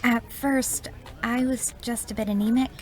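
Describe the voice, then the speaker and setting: An actress playing a frail, sheltered teenage girl in an audio drama, soft and guileless. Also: a little high, fairly even intonation, a little slow, close to an everyday voice. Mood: wistful.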